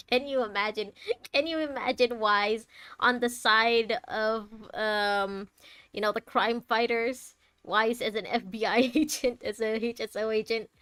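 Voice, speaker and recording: A woman's voice: a little high, fairly even intonation, unhurried, a bit bright, clean tone, dry recording. A woman livestreaming a game, chatting casually as she reacts to the story and to her viewers. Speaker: game streamer. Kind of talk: casual livestream commentary over gameplay.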